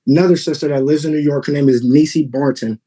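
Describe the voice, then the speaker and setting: A man in his thirties from Texas, answering a judge's questions in court politely and haltingly. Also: a little high, fairly even intonation, warm tone. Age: 30s. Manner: polite, halting, many fillers. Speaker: litigant father.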